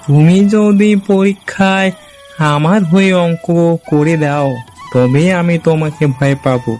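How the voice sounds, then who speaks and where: A man's voice: fairly high pitch, a little slow, a little mellow, faint music behind, natural voice. A man voicing a cheeky, fearless schoolboy in a cartoon, talking in a defiant, dismissive way. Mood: bargaining. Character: cheeky fearless schoolboy who is bad at maths. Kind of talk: cartoon character dialogue.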